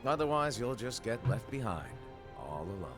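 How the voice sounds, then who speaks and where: A man's voice: mid-range voice, very animated, moderate pace, close to an everyday voice. An actor playing a playful male mentor in a video game cutscene, breezy and teasing as he gives orders. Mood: stern. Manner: breezy, teasing, then coaxing. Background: game score music.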